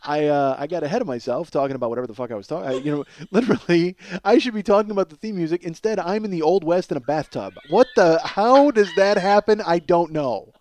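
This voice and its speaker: A man's voice: on the high side, fast, few pauses, a little mellow. Rambling, profane man hosting a comedy podcast. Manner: rambling, self-interrupting, profane; self-mocking. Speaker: podcast host. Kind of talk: casual comedic monologue.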